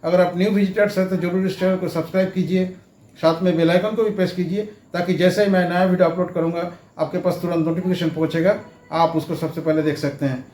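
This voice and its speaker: Male how-to presenter, notably high voice, informal step-by-step delivery with repetition, recorded with roomy echo. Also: steady tone, fast, a little mellow, slight background noise. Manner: explains step by step, often repeating phrases. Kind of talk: informal explainer lesson. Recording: echoey room sound.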